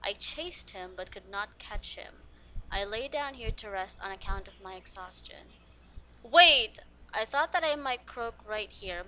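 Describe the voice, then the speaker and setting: A woman casually reacting to a video game and reading aloud, expressive and jumping from quiet to loud. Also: on the high side, highs cut off. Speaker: streamer playing a video game. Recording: game music behind the voice.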